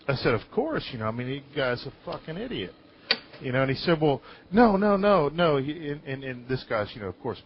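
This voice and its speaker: A man talking casually on a live talk show, telling anecdotes in a halting way and often repeating words. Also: mid-range voice. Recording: dull lo-fi audio with clear noise.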